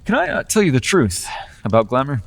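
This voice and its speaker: A man's voice: mid-range voice, wildly swooping pitch, a little fast, creaky, in a large hall, exaggerated voice. A man playing a sly, coaxing Fae dealer. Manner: coaxing, playful salesman patter. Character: charming male Fae dealer, persuasive and sly. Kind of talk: ASMR roleplay.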